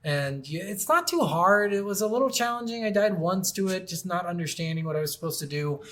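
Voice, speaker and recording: Male podcast co-host, higher-pitched voice, casual gaming chat, blunt, sweary, slangy. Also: expressive, a little fast, a bit bright.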